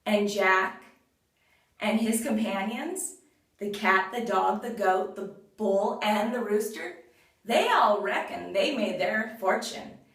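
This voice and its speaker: A woman narrating a children's folktale in a measured way, with sing-song refrains. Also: mid-range voice, a bit bright. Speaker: storyteller. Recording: very roomy echo, far from mic.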